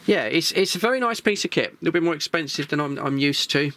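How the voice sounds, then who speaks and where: An English man hosting a hobby vlog, chatting casually and informally in a rambling way with hesitant pauses. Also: on the high side, lively intonation, a bit bright. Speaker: host of a radio hobby channel. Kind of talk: casual vlog chat, gear preview.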